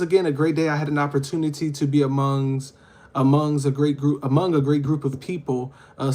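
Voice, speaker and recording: A man's voice: medium pitch, warm tone. Man, livestream host, casual, reads comments aloud, lots of ums and uhs, phone mic. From Louisiana. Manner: talks to viewers, reads comments aloud, frequent fillers.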